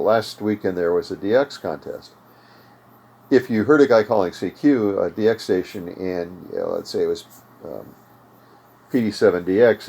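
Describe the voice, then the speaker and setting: An older man with an American accent giving an informal instructional talk, in halting, measured explanations with pauses. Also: lowish voice, dark tone. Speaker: host of amateur radio tutorial series. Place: home radio room.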